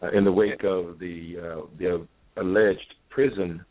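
Male caller, professorial, low register, formal and halting, thin phone-line sound. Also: fairly even intonation. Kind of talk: earnest live call-in discussion. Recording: dull narrow-band phone call.

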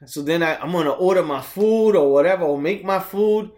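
A man in his thirties talks loosely and reflectively on a podcast, cursing casually as he tells stories. His voice sits a little higher than most men's. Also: fairly quick, darkish tone. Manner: laid-back, swearing, reflective storytelling. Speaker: podcast co-host. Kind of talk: casual chat.

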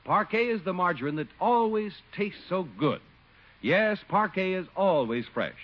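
Male American announcer, vintage radio ad read. Emphatic, sweeping intonation, fairly high pitch, muffled recording. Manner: emphatic sales delivery. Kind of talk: 1950s scripted radio commercial announcing. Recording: dull 1950s radio recording.

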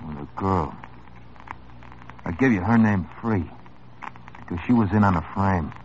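An actor playing a small-time hoodlum in an old radio drama, tough, wry and world-weary. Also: male voice, low-pitched, unhurried, darkish tone, slight background noise. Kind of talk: 1950s radio drama acting. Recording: muffled old radio broadcast.

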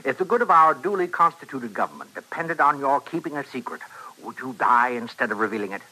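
A courtly gentleman inventor in a vintage radio western. His very bright, forceful voice has a husky edge and an American accent. He speaks in wordy, theatrical, animated phrases, like a secretive showman. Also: mid-range voice, brisk, heavily acted, poor audio. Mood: solemn. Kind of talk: 1950s radio western acting.

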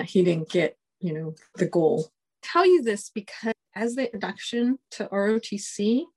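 A woman in her forties whose first language is Spanish, a colonel telling stories in a casual interview, relaxed and chuckling often. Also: mid-range voice. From United States. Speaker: guest, Air Force colonel.

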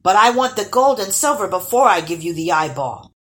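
A woman voicing a bold, cocky young boy hero who yells defiantly in a storybook tale. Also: low-pitched, sing-song, slow, full character voice. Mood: bargaining. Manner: defiant yelling.

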